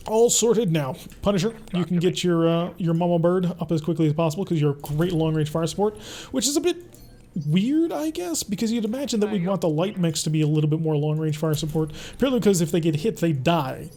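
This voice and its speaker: A man's voice: sits high, animated, a little fast, warm tone. A young man streaming a mech strategy game, chatting casually and answering his live chat as he plays. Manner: chatty, reads and answers chat while playing. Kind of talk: casual gameplay commentary.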